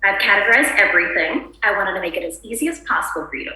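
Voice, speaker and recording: A woman's voice: mid-range voice, lively intonation, fast talker, pushed and bright. A woman acting a frazzled suburban housewife at a screenplay table read, insistent, nervous and desperately polite with brittle cheer. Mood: nervous, eager. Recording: very echoey room recording.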